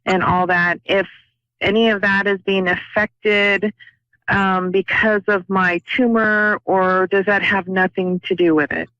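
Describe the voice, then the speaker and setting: Woman caller, earnest, hesitant, bright tense voice, dull dry call-in line. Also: mid-range voice, fairly even intonation, unhurried, smooth, no echo. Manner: earnest, hesitant, repeats words.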